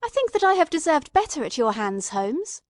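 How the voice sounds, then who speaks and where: A woman reads as a detective's loyal gentleman companion in an audiobook. The voice is high and closely recorded with little room sound. He speaks candidly and fervently, quick to show his feelings. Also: very animated, dry recording, clean studio sound. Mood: hurt, reproachful. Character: earnest, loyal, emotional male companion of a detective. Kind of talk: audiobook character dialogue.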